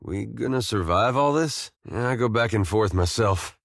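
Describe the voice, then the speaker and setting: A man voicing a gentle, world-weary farmer turned adventurer in a video game, plain-spoken and loyal, with a folksy, understated, dryly humorous manner. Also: lowish voice, animated, moderate pace, creaky, dry recording, clean studio sound. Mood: earnest, musing. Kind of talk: video game companion dialogue.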